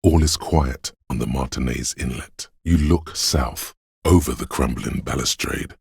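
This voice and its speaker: Game role: an omniscient, ominous city spirit, extremely low and husky, poetic second-person narration. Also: male voice, dry recording, studio quality, exaggerated voice. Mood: eerie, hushed. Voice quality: very deep gravelly, husky voice. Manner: slow, hushed, poetic, ominous. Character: brooding omniscient spirit of a city, an inner sense.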